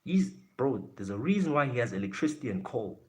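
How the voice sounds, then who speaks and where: A man's voice: mid-range voice, very animated, fairly quick, darkish tone. A man from Africa, chatting casually on a livestream and answering viewer comments bluntly, swearing and trash-talking as he goes. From South Africa. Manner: blunt, brash, swears and trash-talks chat.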